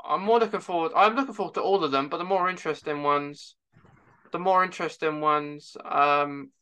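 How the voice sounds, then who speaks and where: A man hosting a casual fan chat as interviewer, speaking haltingly with repeated words and false starts. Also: fairly high pitch, sharp tone, clear, resonant tone. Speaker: podcast host interviewing a guest.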